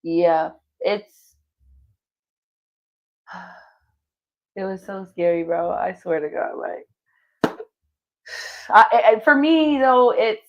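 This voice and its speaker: A woman streamer chatting on her live show, talking loose and slangy and cursing freely. her pitch sits in an ordinary middle range for a woman, and she sounds like a singer relaxing between songs. the tone is easygoing and conversational. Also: drawn-out words, long pauses, dull audio. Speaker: streamer host. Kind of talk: casual stream chat.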